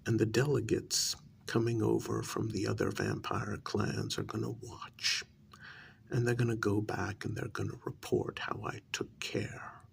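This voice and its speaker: A man playing a coldly vengeful, aristocratic vampire master in an ASMR role-play, half-whispering with deliberate, mock-polite menace. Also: fairly low pitch, slight background noise, full character voice. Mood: cold threat. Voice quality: breathy half-whisper with gravelly creak.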